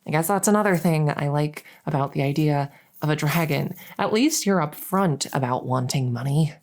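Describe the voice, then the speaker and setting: Woman acting a creaky-voiced, halting young princess. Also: low voice, a little mellow. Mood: exasperated, wistful. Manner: hesitant, halting, confiding, with trailing pauses. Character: flustered, world-weary young princess, heir to a throne. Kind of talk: intimate audio roleplay addressed to the listener.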